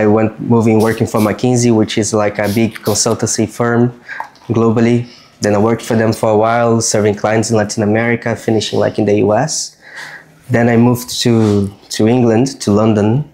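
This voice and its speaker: A man in his thirties from Brazil with a Brazilian accent, talking about his design work in a relaxed, earnest way while getting a haircut. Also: lowish voice, moderate pace. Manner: relaxed, earnest, restarts words while explaining. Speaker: guest, design strategist. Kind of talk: casual chat during a haircut. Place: barbershop.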